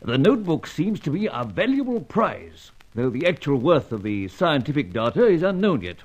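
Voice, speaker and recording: An actor playing an excitable British spymaster in a radio serial, hearty and sputtering with a British accent, on a dull old recording. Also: male voice, fairly high pitch, moderate pace. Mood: excited, jovial.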